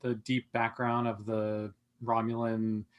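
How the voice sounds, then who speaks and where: A man's voice: lowish voice, monotone, takes it slowly, smooth, no room sound. A man chatting casually on a podcast panel in an easygoing, offhand way. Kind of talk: casual chat.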